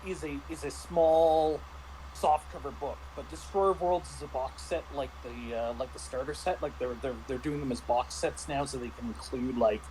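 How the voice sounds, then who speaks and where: Man chatting over clearly noisy background. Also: mid-range voice, steady tone, moderate pace, darkish tone. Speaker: guest game master. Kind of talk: casual chat reviewing a tabletop game.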